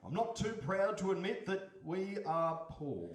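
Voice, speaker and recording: A man's voice: sits high, animated, measured pace. A man playing a sceptical, grumbling poor fisherman in a comic audio story, bemused and easily exasperated, with room echo. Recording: roomy echo, faint noise.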